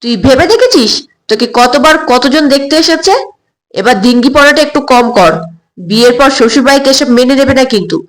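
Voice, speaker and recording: A woman's voice: sits high, animated, fairly quick, poor audio. A woman voicing a worried, exasperated middle-aged mother in an audio story, insistent and scolding.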